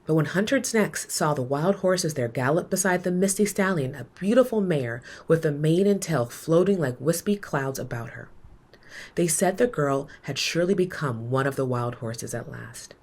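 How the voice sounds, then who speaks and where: A woman reading a children's storybook aloud in an expressive, measured storytelling manner, letting her phrases end softly. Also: deep voice. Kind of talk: storybook read-aloud, scripted narration.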